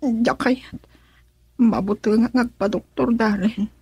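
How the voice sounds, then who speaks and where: A woman's voice: fairly high pitch, fairly even intonation, slow pace, darkish tone, breathy edge, no room sound, exaggerated voice. An actress playing a weak, ailing young woman pleading in a radio drama, with a Filipino accent. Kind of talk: Ilocano radio drama acting. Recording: dry studio, faint music behind.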